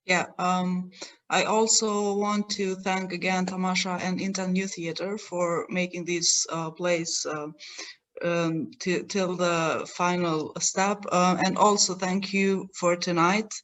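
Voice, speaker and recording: Slow-speaking, even-toned woman from Kosovo. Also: on the low side. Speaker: playwright. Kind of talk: informal thanks on a panel.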